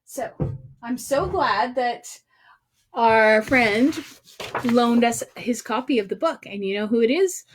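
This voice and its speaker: A woman with a high voice tells stories to young children at a slow, deliberate pace. She is playful and very expressive, talking straight to her little listeners as the host of a read-aloud. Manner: playful, exaggerated expression, addressing children directly. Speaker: children's storyteller and singer hosting a livestream.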